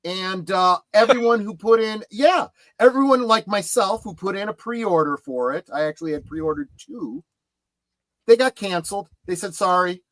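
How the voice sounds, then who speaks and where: A middle-aged man in casual panel chat, speaking in a halting but emphatic way. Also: high-pitched, expressive, a bit bright, no room sound, low-quality recording. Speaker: show panelist. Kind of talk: casual chat about collectibles.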